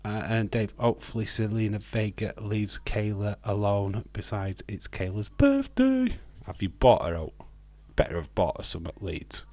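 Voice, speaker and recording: A man with a lowish, slightly croaky voice hosts a live stream in a loose, rambling chat. He fills gaps with hesitant ums and uhs. The sound is dry and close. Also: big pitch swings, normal speed, a little mellow, dry recording. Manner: rambling, friendly, with frequent hesitant fillers. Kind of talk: casual live watch-along chat, reading viewer comments.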